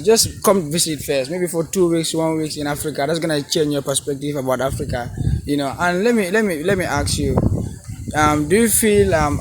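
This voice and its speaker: A man's voice: fairly high pitch, a little hiss or hum. A man hosting an interview, addressing viewers and asking questions with hesitant restarts, backing his guest with many "mm-hmm"s. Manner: encouraging, frequent "mm-hmm" and "wow", halting questions. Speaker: interviewer, host.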